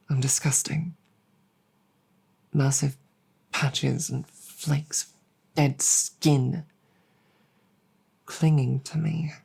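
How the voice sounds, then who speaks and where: A woman voicing a proud but embarrassed female dragon, tender toward a human partner, in an intimate roleplay audio drama, speaking formally and haltingly with a breathy voice. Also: deep voice, a little mellow, exaggerated voice. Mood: ashamed, disgusted. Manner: formal, flustered, halting with repeated words.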